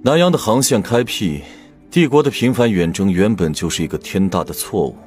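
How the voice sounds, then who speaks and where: Audiobook drama prince, played by a man: lowish register, swooping intonation, grave, candid, weighed down by worry. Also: animated, fairly quick, a little mellow, faint music behind, close to an everyday voice. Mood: grave, scornful. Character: imperial prince, grave, troubled, candidly lecturing on state finances.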